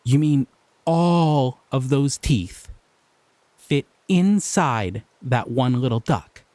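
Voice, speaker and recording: A man voicing a shy, gentle male slime creature in an audio roleplay, soft-spoken and hesitant, often trailing off. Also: medium pitch, lively intonation, slow pace, no room sound. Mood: gentle, curious.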